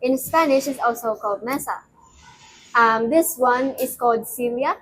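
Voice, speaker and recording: A woman's voice: fairly high pitch, normal speed, a little hiss or hum, low-quality recording. A young woman from the Philippines co-hosting a casual chat, explaining at length with lots of "um" and "like". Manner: explanatory, with fillers like "um" and "like". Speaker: co-host.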